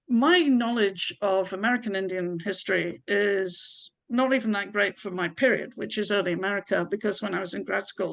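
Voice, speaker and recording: A woman's voice: medium pitch, gravelly. A woman from Scotland with an English accent, a historian giving a chatty, opinionated talk full of asides. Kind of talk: informal talk.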